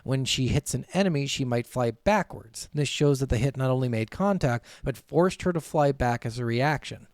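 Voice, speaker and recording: A man's voice: mid-range voice, animated, normal speed, few pauses, darkish tone, no room sound, clean studio sound. A man co-hosting a podcast, chatting informally with plenty of swearing and commenting on his notes as he goes. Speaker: podcast co-host. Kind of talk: casual chat, reading notes and commenting.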